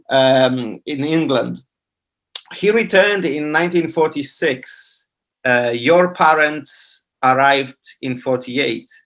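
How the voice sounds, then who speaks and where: A man's voice: medium pitch, fairly bright tone. A man interviewing, asking questions with many hesitations and fillers.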